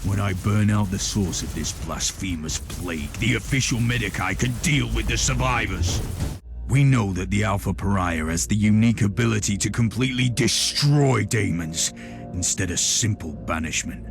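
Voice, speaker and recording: Low-voiced, stern Inquisitor. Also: male voice, creaky. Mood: resolute. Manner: authoritative, deliberate, dryly ironic. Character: stern, commanding male Imperial Inquisitor, dryly sardonic. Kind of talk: scripted video game voice acting. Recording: music behind the voice.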